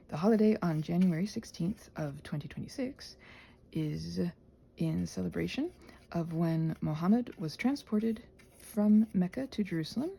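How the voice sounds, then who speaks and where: A woman chatting casually in a rambling ASMR style, with a soft breathy voice that often drops into a whisper, questioning herself and thinking out loud. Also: on the low side. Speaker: ASMR video creator and host.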